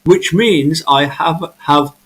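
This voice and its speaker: A man's voice: a little high, slow pace, a bit bright. A man in his thirties hosting a casual live chat interview, stumbling and repeating words as he builds his questions. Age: 30s. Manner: hesitant, repeats words while asking questions. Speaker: host.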